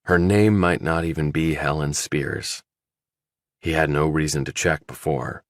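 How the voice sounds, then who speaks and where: A man's voice: rumbling low, steady tone, unhurried, dry recording, clean studio sound. A man reading a scripted thriller audiobook as its narrator.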